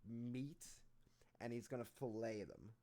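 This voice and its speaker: A man's voice: mid-range voice, measured pace, warm tone. A man hosting a rap reaction, casually explaining lyrics line by line with lots of fillers. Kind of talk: casual lyric breakdown commentary.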